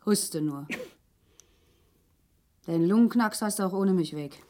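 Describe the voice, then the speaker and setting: An actress plays a middle-aged East Berlin housewife in a radio play. She is scornful and put-upon, nagging and needling her husband in a mid-pitched voice. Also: a little slow, a little mellow, lightly acted. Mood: sour, needling. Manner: scolding, needling. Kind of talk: grotesque German radio play acting.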